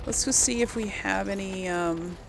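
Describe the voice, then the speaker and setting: A woman's voice: medium pitch, moderate pace, gravelly, a little hiss or hum. A woman giving casual, chatty video game commentary, thinking aloud as she plays.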